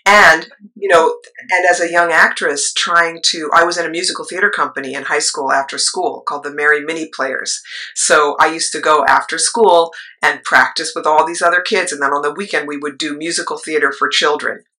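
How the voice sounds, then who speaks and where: Low-voiced middle-aged woman, bright tone. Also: fairly even intonation, brisk, poor audio. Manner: rambling, with asides and trailing thoughts. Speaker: choir leader and actress. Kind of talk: interview storytelling.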